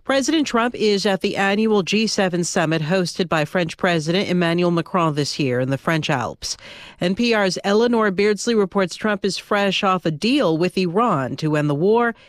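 A woman reading a radio newscast in a measured, formal way. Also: fairly low pitch, animated, no echo, clean studio sound. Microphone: studio mic.

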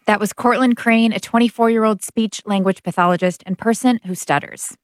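A woman hosting a radio interview show, reading measured intros and asking questions. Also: mid-range voice, soft background music.

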